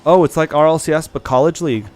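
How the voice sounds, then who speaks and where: A man's voice: a little high, fast, a little mellow, faint music behind. A young man chatting casually with viewers on a game stream, rambling and thinking aloud with many restarts and questions. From Ireland. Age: young adult. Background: faint background beats.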